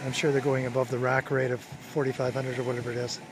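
A man's voice: medium pitch, little pitch movement, quick pace, music in the background. A man casually narrating a travel vlog, wry and chatty, chuckling to himself. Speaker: travel vlogger.

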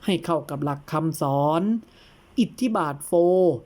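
A woman voicing a patient, probing male teacher in an audio tale, who explains things in a deliberate, didactic way. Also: deep voice, darkish tone. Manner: deliberate, didactic, breaks words into syllables. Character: patient, probing old-fashioned male teacher instructing his pupil.

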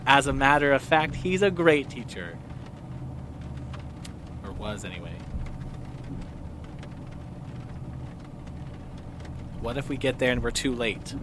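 An actor playing a good-natured, conciliatory young man in an audio drama, easygoing and gently coaxing. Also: mid-range voice, lively intonation, moderate pace, background noise, natural voice. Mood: wistful, worried. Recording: loud music under the voice.

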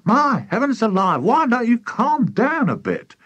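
A man's voice: extremely high pitch, huge pitch swings, a little slow, a bit bright, breathy edge, dry recording, muffled recording, voice pushed up, exaggerated voice. A man voicing a scolding, unimpressed wife in a children's story, chiding and exasperated.

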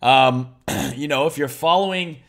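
A young man with a hoarse voice, talking loudly and casually on a crypto trading live stream, swearing freely. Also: fairly high pitch, unhurried. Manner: loud, excitable, swears casually.

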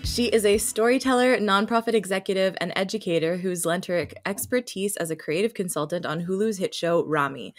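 A woman's voice: medium pitch, fairly quick, hardly any pauses, soft background music. A young woman hosting an online interview, introducing the guest and asking loose, friendly questions with fillers. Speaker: host. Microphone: video call.

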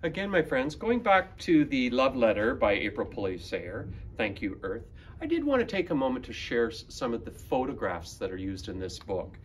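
A man in his fifties reading a picture book aloud to children in a deliberate manner. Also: medium pitch, very animated, slight background noise. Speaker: school librarian, guest reader. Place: school library room, indoors.

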